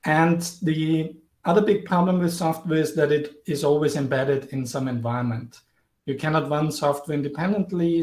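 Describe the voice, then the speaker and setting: A man's voice: fairly high pitch, darkish tone. Austrian man lecturing over video call. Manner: clear, explanatory, lightly humorous. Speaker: guest lecturer, professor. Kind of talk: online guest lecture. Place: indoors, home or office, virtual background. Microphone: video call webcam. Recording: roomy video call audio.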